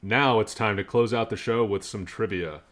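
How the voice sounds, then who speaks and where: A man's voice: lowish voice, moderate pace. A man hosting a podcast in casual, bantering group chat. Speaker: podcast host.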